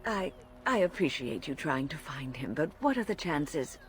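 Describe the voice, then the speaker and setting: An actress playing an anxious woman in a video game, with a breathy, hushed voice and tentative, halting delivery. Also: low voice, big pitch swings. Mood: anxious, hopeful.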